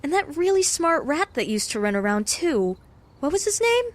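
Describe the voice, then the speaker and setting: A woman's voice: high voice, sing-song, normal speed. A woman playing a brave, earnest young lab intern in a comic-book audio drama, talking in a conversational, coaxing way. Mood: feigned innocence.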